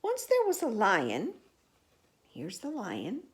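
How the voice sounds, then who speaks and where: A woman storyteller reading a children's picture book aloud expressively, pausing to ask the listener questions. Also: mid-range voice, huge pitch swings.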